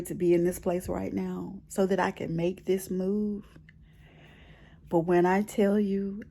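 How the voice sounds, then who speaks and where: A woman's voice: medium pitch, vocal fry, a little mellow. A middle-aged American woman chatting casually in a personal vlog, telling her story expressively and repeating phrases for emphasis. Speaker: personal vlogger. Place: bedroom at home.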